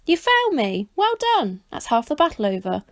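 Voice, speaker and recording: A woman's voice: fairly high pitch, wildly swooping pitch, moderate pace, no echo, studio quality. A woman voicing a cheery, welcoming man who supplies goldfish, exclaiming happily in a storybook.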